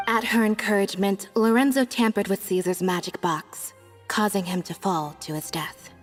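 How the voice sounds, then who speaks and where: A woman's voice: medium pitch, fairly even intonation, moderate pace, natural voice. An actress playing a young female magician recounting a crime with dramatic storytelling. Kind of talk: video game voice acting. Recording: game audio with reverb and music.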